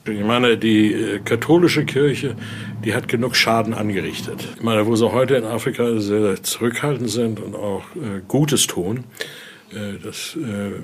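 A man giving an informal, halting explanation as a guide, often ending phrases with little questioning tags. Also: fairly low pitch, slight background noise. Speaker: interviewee, exhibit guide and Voodoo expert. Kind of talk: informal spoken explanation during a guided tour.

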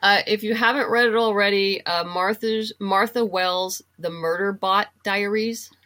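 A woman talking casually as an interview guest, chatty and a little halting as she gathers her thoughts. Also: mid-range voice, fairly even intonation, a bit bright. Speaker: guest, comic book writer and novelist. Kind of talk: casual interview chat, giving reading recommendations.

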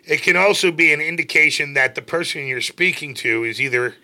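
A middle-aged man co-hosting a casual show, reading slang out loud with jokes and a mock Irish accent. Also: a little high, brisk, slight background noise. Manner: joking, puts on a playful Irish accent for the sample sentences. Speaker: co-host.

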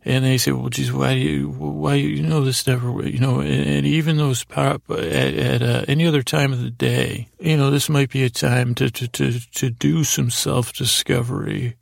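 A man hosting a sleep podcast, giving a meandering, halting bedtime monologue in a creaky, gravelly voice, stumbling over his words. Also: medium pitch, fairly even intonation, dry recording, studio quality. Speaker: podcast host. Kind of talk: rambling bedtime monologue to help listeners sleep.

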